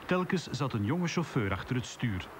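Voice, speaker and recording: A man with a Flemish accent reads a scripted news report at a measured pace. His voice sits in an ordinary middle pitch, with faint street sound behind him. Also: very animated, background noise.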